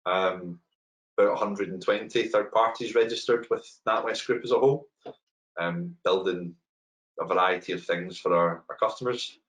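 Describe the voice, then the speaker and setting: A middle-aged man with a Glaswegian accent gives a webinar talk over a video call from a room at home, relaxed and conversational with many ums and repeated words. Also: deep voice, moderate pace, rough recording. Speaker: head of open banking technology, webinar speaker. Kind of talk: webinar presentation. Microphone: webcam on a video call.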